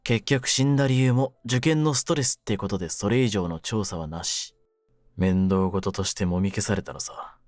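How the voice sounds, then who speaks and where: A cynical young mafia captor, played by a man, speaks in a low voice with rough, brooding phrasing. He sounds hardened yet wounded, in the manner of an intimate audio drama. The recording is close and dry, with soft music underneath. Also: moderate pace, dry recording, close to an everyday voice. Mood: grieving, bitter. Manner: rough masculine slang, taunting, brooding delivery. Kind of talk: Japanese situation-voice audio drama, ASMR.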